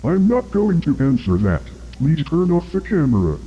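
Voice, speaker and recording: A man's voice: a little high, sing-song, normal speed, warm tone, breathy edge, dull audio. A man playing an evasive, guarded agency commander in a scripted horror interview, speaking formally. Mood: upset, defensive. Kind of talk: scripted analog horror dialogue.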